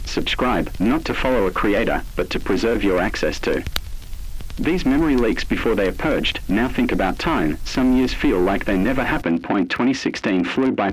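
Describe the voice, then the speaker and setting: A man with a fairly low, noticeably husky voice narrates a scripted horror piece. His delivery is solemn and deliberate, with an ominous tone. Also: poor audio.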